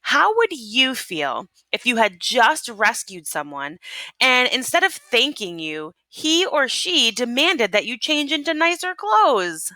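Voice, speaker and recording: A woman's voice: a little high, big pitch swings, slow pace, pushed and bright, dry recording. A woman teacher reading a picture book aloud expressively and prompting young listeners with questions. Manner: instructional, prompting. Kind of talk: expressive storytelling with questions to children.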